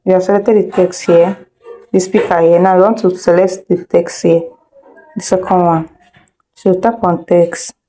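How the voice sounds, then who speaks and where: A woman's voice: fairly low pitch, little pitch movement, normal speed, mellow, a little hiss or hum. A woman teaching an informal step-by-step screen tutorial, instructing directly, repeating herself and checking that learners follow. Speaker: video editing instructor.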